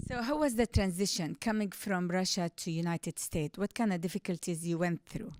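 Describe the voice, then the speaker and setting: A woman's voice: fairly low pitch, moderate pace, a little mellow, no room sound. Upbeat female talk show host. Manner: upbeat, asks simple prompting questions. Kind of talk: interview.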